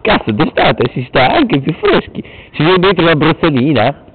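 A man's voice: on the high side, sing-song, normal speed. A young man jokingly hosting a mock interview in a late-night home video, laughing often and putting on an announcer tone. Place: room at night. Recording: tinny lo-fi home video with loud hiss.

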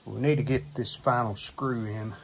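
A man's voice: mid-range voice, steady tone, moderate pace, vocal fry. A middle-aged man hosting a how-to video, talking casually and thinking aloud as he works in an outdoor concrete stairwell. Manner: plainspoken, thinking aloud while working. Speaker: DIY channel host. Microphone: camera mic, sometimes far from camera. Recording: outdoor camera audio, birds and ambient noise. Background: outdoor ambience with birds.

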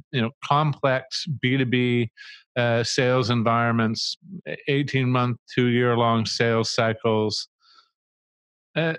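A man's voice: medium pitch, a bit bright, no echo. A middle-aged man, a guest on an interview, explaining ideas in a thoughtful conversational way, speaking into a studio mic in a small room. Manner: thoughtful, explains with restarts and asides. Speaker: marketing agency co-founder, guest. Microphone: studio mic on a boom arm with headphones.

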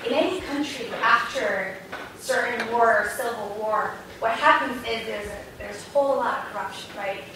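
A woman's voice: fairly high pitch, normal speed. A woman from Somalia making a spontaneous comment as an audience member in a large lecture hall. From Somalia, moved to America young. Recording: echoey hall, distant mic, some noise.

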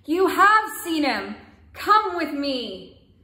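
A woman playing a wise, gentle spirit's sister. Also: sits high, big pitch swings, slow pace, echoey room, full character voice. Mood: delighted. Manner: gentle questioning.

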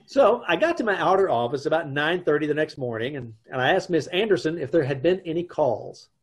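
Brief: husky male actor as sardonic ad-man husband, old-time radio comedy, very animated swinging delivery. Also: fairly high pitch, a little fast. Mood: wry narration. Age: middle-aged. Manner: wry, stammering. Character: dry, wisecracking, put-upon advertising man husband. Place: indoors at home on a video call.

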